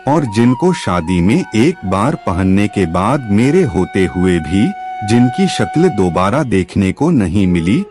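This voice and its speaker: A wronged young daughter-in-law played by a male narrator stands her ground with polite but pointed defiance. The lines come slowly and with animated intonation, in a family storybook drama set over music. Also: on the low side. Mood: aggrieved. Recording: music behind the voice.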